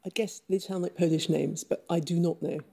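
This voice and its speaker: A woman competing on a studio quiz, guessing answers quickly and unsurely. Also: on the low side, fairly even intonation, creaky, dark tone, husky. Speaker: quiz contestant. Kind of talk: team conferring on a quiz show. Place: television studio.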